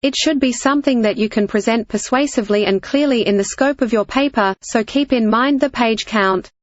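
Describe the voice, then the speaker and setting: A woman with a slightly high voice reads a prepared practice text aloud. The sound is very dry and close, though a little dull and lo-fi. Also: fairly quick, no room sound. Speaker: reader of exam practice passages. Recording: dull lo-fi audio.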